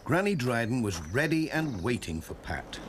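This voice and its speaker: A man's voice: mid-range voice, sing-song, normal speed, heavy background noise. A man with a British accent reading scripted narration for a children's story.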